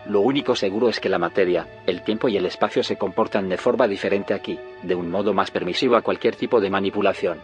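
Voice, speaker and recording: An actor playing a determined, secretive inventor in an audio drama. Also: male voice, deep voice, fast, hardly any pauses, soft background music, close to an everyday voice. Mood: assured, intrigued. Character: male inventor of a time machine, determined, secretive.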